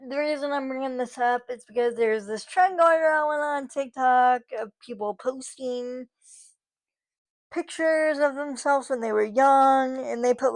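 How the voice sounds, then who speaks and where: A woman in her thirties chats to her vlog audience, meandering through thoughts with many fillers and false starts. Her voice sits a little high, and she speaks at a slow, unhurried pace. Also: a little mellow. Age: 30s. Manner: rambling, many fillers and restarts. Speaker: vlogger. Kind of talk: casual monologue to camera.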